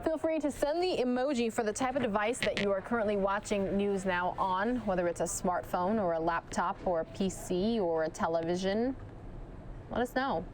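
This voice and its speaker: Chatty woman anchor, voice going hoarse. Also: mid-range voice, normal speed, slight background noise, rough recording. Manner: chatty, addresses viewers directly. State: losing her voice. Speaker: news anchor. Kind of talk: live chase commentary.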